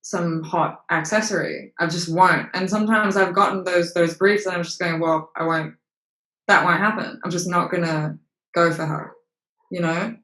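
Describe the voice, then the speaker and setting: A woman's voice: fairly low pitch, steady tone, normal speed, fairly bright tone. A young woman from Australia, an actress being interviewed, speaking frankly with casual swearing and many fillers. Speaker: actress and model, interview guest. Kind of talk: candid interview conversation. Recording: roomy echo.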